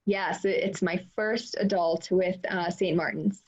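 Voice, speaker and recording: A woman's voice: lowish voice, fairly even intonation, measured pace. A woman speaking chattily and enthusiastically as an author on a casual online panel, over a video call. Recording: dull video-call audio.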